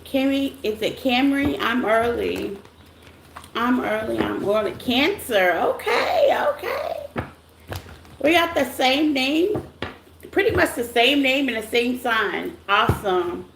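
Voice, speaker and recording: A woman with a scratchy, rough voice hosts a casual tarot livestream, greeting viewers by name in a loose, chatty way. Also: a little high, very animated, slow. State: voice rough after a silent morning. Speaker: tarot reader hosting a live stream. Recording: echoey room with faint noise.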